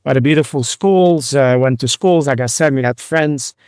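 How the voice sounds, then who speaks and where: A man in his sixties from Lebanon, a rabbi and physician, telling his life story in an interview, speaking haltingly with many fillers and restarts. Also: medium pitch, darkish tone, clear, resonant tone, no echo, clean studio sound.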